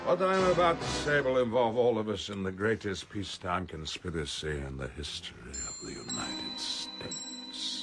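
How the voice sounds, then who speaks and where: Radio play villain: pompous mastermind, deep-set and highly expressive, meticulously formal speech. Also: male voice, moderate pace, vocal fry, over music. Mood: grandly scheming. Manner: precise, formal, grandiose diction. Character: pompous criminal mastermind laying out a grand heist.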